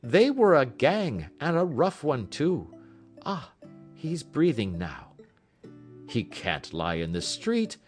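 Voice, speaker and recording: A man voicing excitable, common street onlookers in an audiobook, earnest and exclamatory. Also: medium pitch, slow pace, faint music behind, pitched above the performer's own voice. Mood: earnest, agitated.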